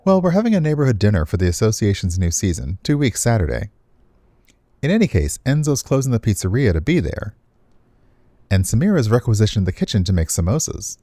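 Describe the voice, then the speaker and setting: A man's voice: fairly low pitch, big pitch swings, a little fast, mellow, no echo. A man voicing an easygoing, frank male restaurant worker in a visual novel. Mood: chatty.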